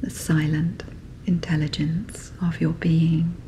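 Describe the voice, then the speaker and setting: A woman narrating a guided sleep meditation in a soft, breathy, whispery, soothing manner. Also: sits low, little pitch movement, slow, a little hiss or hum, low-quality recording.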